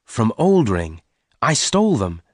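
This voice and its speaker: A man voicing a strong young cowboy in an audiobook, gentle and devoted, speaking in a measured, earnest way. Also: mid-range voice, big pitch swings, no room sound, studio quality, pitched above the performer's own voice. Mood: calm, firm.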